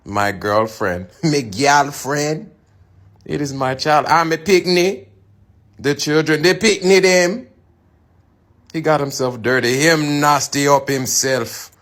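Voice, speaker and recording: A man's voice: a little high, slow pace, fairly bright tone. A man with a Jamaican accent giving a phrase-by-phrase language lesson, saying each phrase plainly and then in dialect. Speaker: language demonstrator.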